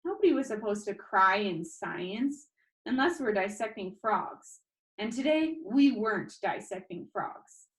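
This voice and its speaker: Casting: woman storybook reader, mid-pitched, expressive audiobook narration for children. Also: a little slow.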